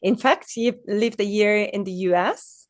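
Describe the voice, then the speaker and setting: A woman's voice: mid-range voice, measured pace, no room sound, studio quality. A woman from Hungary, a professional flutist, talking about her career over a video call with many small restarts and fillers. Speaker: flutist and guest. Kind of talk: interview conversation.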